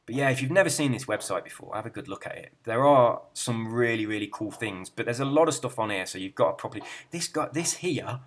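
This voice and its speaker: A middle-aged man chatting casually, rambling and easily sidetracked as he browses a website, with a soft, relaxed way of speaking. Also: medium pitch, quick pace, hardly any pauses. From England. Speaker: YouTuber and hypnotist.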